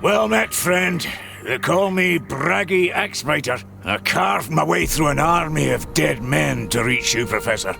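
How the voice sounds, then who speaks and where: An actor playing a gruff, boastful dwarf warrior in a video game, with a hearty, boisterous manner and a gravelly voice. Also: male voice, on the high side, expressive, unhurried, exaggerated voice. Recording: game cutscene with loud music.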